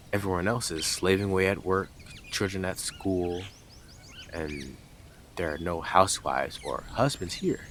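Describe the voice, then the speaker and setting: A man performs a blunt, obsessive yandere neighbour for a roleplay audio. The voice is deep and swings widely from low mutters to sharp jabs. He taunts and scoffs with sarcastic, theatrical emphasis, over faint background noise. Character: blunt, smug, obsessive young male yandere neighbour, mocking intruder. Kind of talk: scripted audio roleplay addressed to listener.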